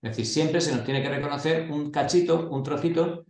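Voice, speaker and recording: A man's voice: fairly high pitch, a little fast, darkish tone. A man lecturing a class of local-government staff, asking and answering his own questions in a conversational way. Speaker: course instructor. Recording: echoey classroom.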